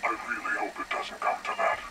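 An actor playing a smug, menacing intelligence director in a video game, speaking with condescending, deliberate taunts. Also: male voice, heavy background noise, lightly acted. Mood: coaxing. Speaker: interrogator. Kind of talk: dramatic video game voice acting.

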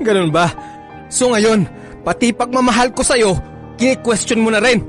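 A man's voice: extremely high pitch, a little slow, faint music behind. An actor playing an evasive married man in a Filipino radio drama, defensive, placating and emotional with his lover. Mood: defensive, upset.

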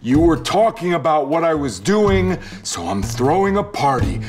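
Man voicing an indignant, higher-pitched fussy alligator. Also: big pitch swings, normal speed, soft background music. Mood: exasperated. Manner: exasperated, protesting. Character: indignant, fussy male alligator fed up with the narrator. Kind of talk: storybook character voice.

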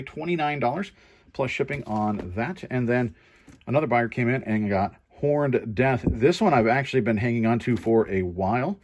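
A man's voice: medium pitch, husky. Casual man recapping his online sales. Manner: informal, conversational. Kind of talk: casual show-and-tell commentary.

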